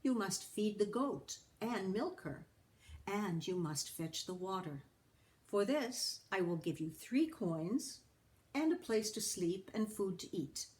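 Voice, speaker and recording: Woman as a firm, unhurried old village witch. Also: mid-range voice, lively intonation, darkish tone. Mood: firm, instructing. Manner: instructive, emphatic. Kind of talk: storybook character voice.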